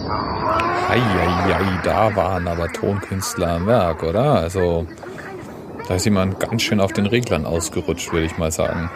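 Very low-voiced man, wry podcast host. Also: measured pace, very noisy. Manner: wry, tongue-in-cheek. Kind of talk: casual, chatty podcast commentary.

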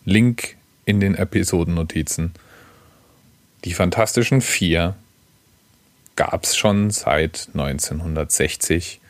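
A man's voice: sits low, slow, pauses often, no room sound. A man hosting a podcast with casual, chatty commentary and a wry, tongue-in-cheek manner. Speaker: podcast host.

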